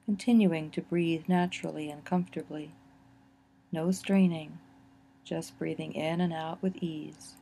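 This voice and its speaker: A woman narrating a guided relaxation exercise in a gentle, soothing manner, with unhurried phrasing and pauses. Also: low-pitched, creaky, a little hiss or hum.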